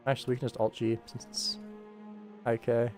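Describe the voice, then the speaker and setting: A man's voice: mid-range voice, flat delivery, brisk. A man streaming his animation work, thinking aloud casually and rambling to himself as he goes. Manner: rambling, muttering to himself while working. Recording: background music behind voice. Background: game soundtrack music.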